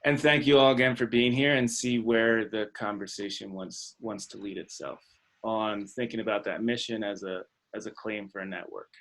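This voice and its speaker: A man hosting an online webinar discussion, speaking in a thoughtful, tentative and halting academic manner. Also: on the low side, fairly even intonation, a bit bright. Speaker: webinar host and facilitator. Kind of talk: hesitant, thoughtful facilitated discussion. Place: online video call.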